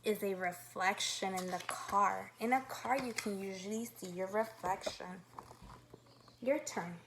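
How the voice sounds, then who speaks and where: A woman's voice: mid-range voice, unhurried, background noise. A woman kindergarten teacher leading a read-aloud lesson, upbeat and instructive, asking guiding questions.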